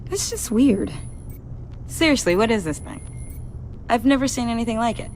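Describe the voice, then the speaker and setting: A cocky young female tech whiz in a video game, played by a woman. Her high voice is very lively in pitch, teasing and self-assured, over faint background noise. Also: normal speed, lightly acted, rough recording. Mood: curious, confident. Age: young adult.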